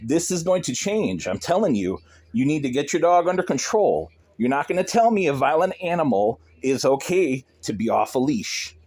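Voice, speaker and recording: A man's voice: a little high, sing-song, normal speed, a little mellow, husky. A man arguing on a phone call as a pet owner confronting a neighbour, in a stern, insistent, lecturing manner. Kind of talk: argumentative phone conversation.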